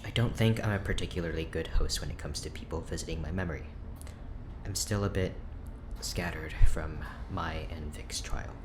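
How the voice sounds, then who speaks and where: A deep-voiced male doctor in an audio drama, guarded and formal, hesitant until he turns clipped and defensive. His voice sits very low, over faint background ambience. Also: normal speed, background noise. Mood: subdued dictation. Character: guarded, defensive male neurologist, stiff and weary. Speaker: head neurologist at a research station. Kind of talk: naturalistic audio drama acting.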